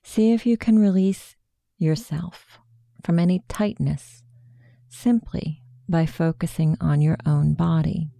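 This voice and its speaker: A woman narrating a guided meditation in a slow, hushed, soothing way of instructing. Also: fairly low pitch, fairly even intonation, gravelly, dry recording.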